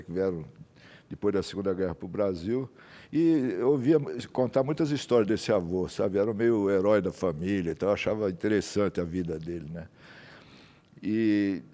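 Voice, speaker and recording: Brazilian man, 70s, storytelling guest, low and mellow, rambling delivery. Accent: Brazilian Portuguese. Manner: rambling, anecdotal, frequent tag questions. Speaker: journalist guest. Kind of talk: interview storytelling.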